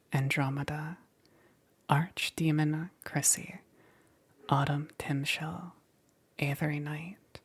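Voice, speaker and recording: A woman speaks in hushed ASMR style, reading slowly and evenly. Her voice is low and very breathy, mostly whispered, with some creak. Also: no room sound. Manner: slow, hushed, measured. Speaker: ASMR creator thanking supporters.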